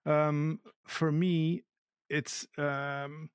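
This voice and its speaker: A man's voice: fairly high pitch, flat delivery, very slow, clear, resonant tone, no echo, clean studio sound. A man from Portugal, speaking natively, talking as a podcast guest in a thoughtful way, often searching aloud for the right words. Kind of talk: casual conversation.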